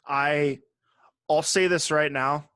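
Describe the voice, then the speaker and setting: A man's voice: on the high side, steady tone, slow pace, fairly bright tone, clean tone, no echo, studio quality. A man co-hosting a podcast in casual chat, talking bluntly and swearing freely. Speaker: podcast co-host.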